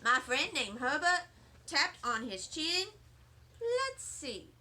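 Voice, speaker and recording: A woman reading a children's story aloud, telling it in an expressive, deliberate way as a child narrator. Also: high-pitched. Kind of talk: animated read-aloud storytelling in a child's first-person narration.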